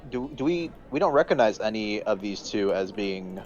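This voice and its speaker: A man's voice: lowish voice, animated, normal speed, darkish tone. Man playing a tabletop game, dull lo-fi sound. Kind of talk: casual game chat. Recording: dull lo-fi voice channel, music behind.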